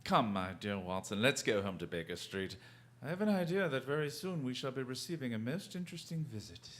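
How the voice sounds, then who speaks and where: An actor playing a witty, self-assured gentleman detective in a radio drama, dry, wry and commanding. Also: medium pitch, sing-song, a little fast, close to an everyday voice. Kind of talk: theatrical radio drama acting.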